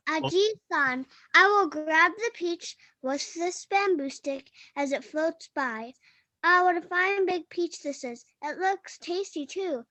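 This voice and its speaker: A female amateur playing an eager old peasant wife from a folktale, full of wonder. The voice is very high and bright, delivered slowly and carefully, with small stumbles as in a read-aloud play. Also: dry recording, full character voice. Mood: amazed, eager. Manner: halting, careful reading with stumbles over Japanese words. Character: poor old woodcutter's wife, amazed and eager. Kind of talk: amateur dramatic reading of a folktale.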